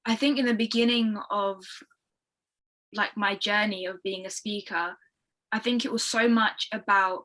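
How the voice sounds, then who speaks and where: A woman's voice: a little high, pushed and bright. A fifteen-year-old girl with a London British accent, chatting enthusiastically as a podcast guest. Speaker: podcast guest, young motivational speaker. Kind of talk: casual interview chat.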